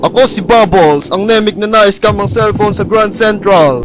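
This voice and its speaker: A man's voice: moderate pace, natural voice. A man playing a boastful, taunting villain bent on conquering Earth in an amateur comic anime-parody radio drama. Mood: boastful, mocking. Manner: theatrical, taunting, with villainous bravado. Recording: noisy homemade recording, rumbling ambience.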